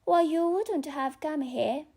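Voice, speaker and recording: A woman with a non-native English accent voicing a mad, playful talking cat, teasing and deliberate, in an audiobook reading. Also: high-pitched, darkish tone, pitched above the performer's own voice. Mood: playful, matter-of-fact.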